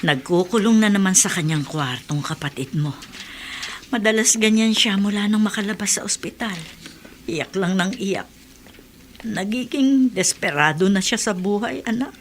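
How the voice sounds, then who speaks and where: A woman's voice: medium pitch, normal speed, slight background noise, natural voice. An actress in a radio drama playing a worried middle-aged mother who stammers with concern for her children. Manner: fretful, hesitant.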